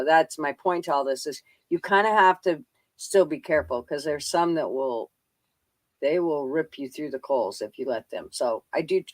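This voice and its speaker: A woman's voice: deep voice, fairly bright tone, no room sound, poor audio. Blunt, folksy woman chatting. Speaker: live stream chat co-host. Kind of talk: casual chat, swearing freely.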